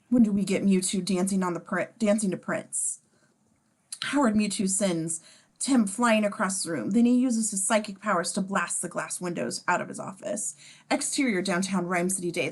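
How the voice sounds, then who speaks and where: Sardonic woman reading stage directions, medium pitch. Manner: sardonic, casual. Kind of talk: amateur table read narration with sarcastic asides.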